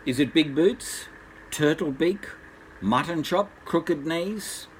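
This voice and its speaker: A man's voice: a little high, takes it slowly, slight background noise, pitched above the performer's own voice, poor audio. A grandfather voicing an anxious young queen who pleads and questions, in a bedtime storybook tale. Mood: questioning. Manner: beseeching, questioning.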